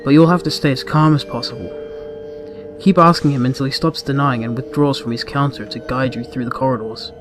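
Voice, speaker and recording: A man reading a scripted horror story aloud in a deliberate, ominous, dramatic manner. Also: mid-range voice. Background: background music.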